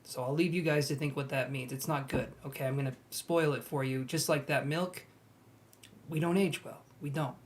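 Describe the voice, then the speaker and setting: A young man reading tarot on a live stream, chatting and gently reassuring his viewers. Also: medium pitch, fairly even intonation, normal speed. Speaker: tarot reader.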